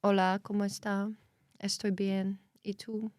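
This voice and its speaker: A woman's voice: mid-range voice, a little slow, no room sound, clean studio sound. Candid young Czech woman chatting. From Czech Republic. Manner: candid, swears casually, animated reactions. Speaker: podcast co-host. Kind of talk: casual chat.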